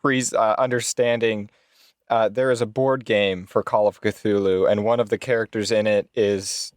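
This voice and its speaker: A man's voice: on the low side, steady tone, normal speed, no room sound, studio quality. A man chatting informally and jokingly as a player in a tabletop roleplaying game. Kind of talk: casual chat at a roleplaying game.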